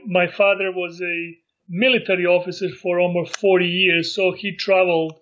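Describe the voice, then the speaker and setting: A middle-aged man from Montenegro, recalling his life in a casual interview and speaking haltingly with many fillers and restarts. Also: high voice, little pitch movement, fairly bright tone, highs cut off. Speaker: interview guest.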